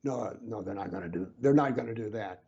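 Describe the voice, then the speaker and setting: A man being interviewed who explains his views earnestly and emphatically, sometimes dropping to a low whisper to stress a point. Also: fairly quick, vocal fry, warm tone, breathy edge, dull audio. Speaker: interview guest, author.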